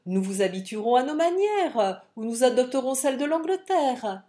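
A woman voicing a boisterous young Irish gentleman in an audiobook, hearty, bombastic and quick to outrage. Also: high voice, expressive, voice pushed up. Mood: jovial. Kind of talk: audiobook character acting.

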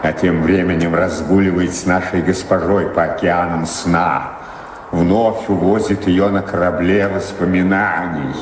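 An actor playing an indignant, scolding manservant of a noble house in a radio drama. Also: male voice, deep voice, fairly even intonation, unhurried. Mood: bitter muttering. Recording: reverberant hall sound with faint noise.

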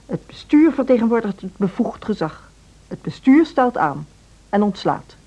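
Mellow, slightly husky self-assured director. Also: female voice, mid-range voice, big pitch swings, moderate pace. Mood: firm, dismissive. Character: self-assured, formal female music school director, patronizing and composed. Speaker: actor in radio play. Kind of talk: Dutch radio drama dialogue. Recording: dull, band-limited radio recording.

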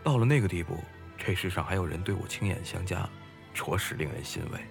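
A man's voice: deep voice, brisk, gravelly, music in the background. An actor playing a young, upright scholar turned fisherman, reserved and formal, in an audio drama. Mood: wistful, pensive.

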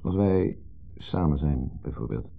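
Jealous brooding husband, husky deep voice, hesitant and needling, 1970s radio play. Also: male voice, lively intonation, unhurried, exaggerated voice. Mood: jealous, probing. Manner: hesitant, fretful, questioning. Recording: muffled narrow-band 1970s radio recording.

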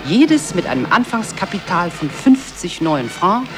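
An actress playing an expansive narrator of a satirical office tale, speaking in rolling, grandiloquent sentences. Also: female voice, fairly low pitch, very animated, a little slow, over music. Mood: ceremonious. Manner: grandiloquent, rolling long lists. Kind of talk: scripted radio play narration addressed to "you".

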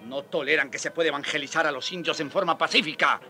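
An actor playing a weary but passionate middle-aged friar in a radio drama, speaking earnestly and declaiming. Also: male voice, on the high side, quick pace, pushed and bright, faint music behind. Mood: indignant accusation.

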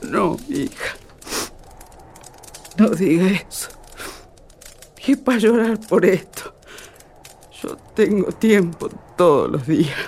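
A woman's voice: fairly low pitch, expressive, slow pace, pauses often, a little mellow, slight background noise. A woman playing a sorrowful, wise old grandmother in a radio drama, plaintive and folksy, with a rural northwestern Argentine accent. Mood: tender, wise.

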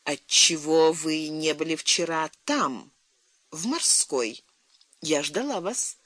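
A narrator voicing an anxious, pleading middle-aged princess, lively pitch. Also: slow pace, no room sound. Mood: anxious, tender. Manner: pleading, insistent, reproachful.

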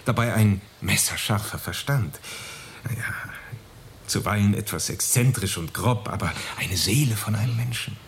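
Earnest, courteous gentleman doctor. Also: on the low side, creaky, slight background noise. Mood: gently persuasive. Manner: measured, formal, earnest. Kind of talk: German radio play acting.

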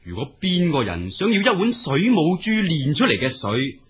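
A man's voice: fairly high pitch, wildly swooping pitch, moderate pace, slightly rough, full character voice. A man with a Cantonese accent playing a greedy, bullying river god in an audio drama, imperious, scornful and commanding. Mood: haughty, scornful.

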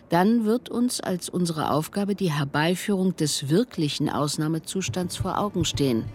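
A woman playing a sober, resolute reciter of quoted texts in a radio play, measured and declarative. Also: lowish voice, a little mellow, slight background noise, close to an everyday voice.

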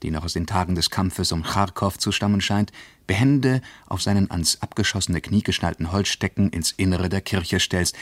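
Deep-voiced man reading a diary, intense. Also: no room sound. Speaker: reader of a diary in a radio play. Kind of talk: scripted radio play reading.

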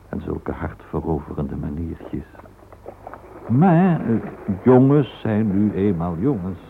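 An actor playing a florid, sentimental storyteller, narrating a radio play in a theatrical, flowery way. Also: male voice, deep voice, big pitch swings, creaky, full character voice. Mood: fond, indulgent. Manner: theatrical, flowery, deliberate phrasing. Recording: noisy, tinny old radio recording.

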